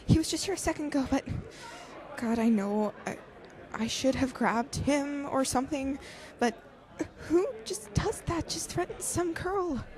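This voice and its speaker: A woman acting a shaken, nervous young woman in a naturalistic audio drama, halting and stammering. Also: high-pitched, a little mellow. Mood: shaken, rattled.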